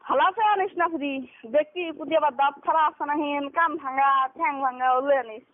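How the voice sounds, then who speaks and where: A woman's voice: sits high, quick pace, slight background noise. A woman with a bantering casually in a taunting, sarcastic manner over a phone call. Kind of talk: casual teasing phone argument. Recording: tinny, band-limited phone call recording.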